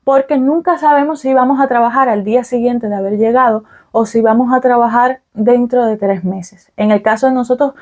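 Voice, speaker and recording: Cuban woman vlogging, slightly high voice. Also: fairly even intonation, a little fast, hardly any pauses, darkish tone. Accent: Cuban Spanish. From Cuba. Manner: conversational, explains with fillers and "ok?" check-ins. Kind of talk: casual vlog talk to camera.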